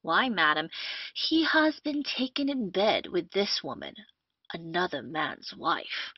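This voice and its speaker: A woman's voice: fairly low pitch, sing-song, slow pace, husky, exaggerated voice. A woman playing a vengeful, theatrical male army captain in an old stage comedy, taunting in old-fashioned English. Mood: formal, deferential. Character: vengeful gloating male army captain, first posing as constable. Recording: muffled lo-fi roomy home recording.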